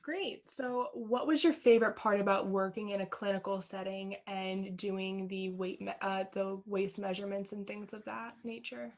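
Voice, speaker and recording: A woman's voice: medium pitch, steady tone, normal speed, few pauses. A woman interviewing a guest on a podcast, asking casual, loosely phrased questions.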